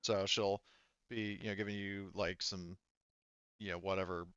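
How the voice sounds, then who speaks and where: A man's voice: low voice, level tone, normal speed, a bit bright, smooth, no echo. A man running a tabletop game as game master, narrating and chatting casually through slightly dull audio.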